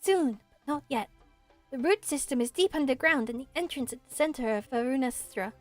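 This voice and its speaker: A woman voicing a small, gentle, earnest forest spirit from a video game, childlike and simply spoken in measured phrases. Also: high voice, soft background music, natural voice. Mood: solemn.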